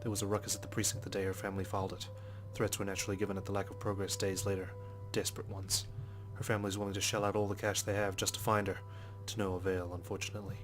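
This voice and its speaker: A man's voice: deep voice, level tone, very fast, hardly any pauses, soft background music. A man reading a visual novel aloud as a let's player, mixing scripted narration with casual commentary.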